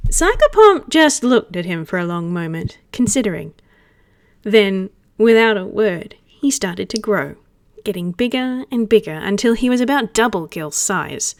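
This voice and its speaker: A woman's voice: mid-range voice, animated, measured pace, dry recording, studio quality. A woman narrating a roleplay story read aloud in the third person. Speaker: roleplay reader.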